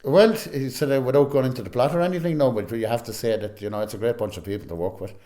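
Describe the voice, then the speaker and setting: A man's voice: mid-range voice, fast talker, mellow. An Irish-accented man speaking casually as an interviewee, chatty and peppering his talk with "you know". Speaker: amateur actor, interviewee.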